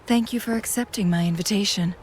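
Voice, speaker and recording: A woman's voice: medium pitch, fairly quick, slight background noise, lightly acted. A woman playing a gracious, composed, subtly teasing strategist in a video game, speaking formally and politely. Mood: gracious, soft.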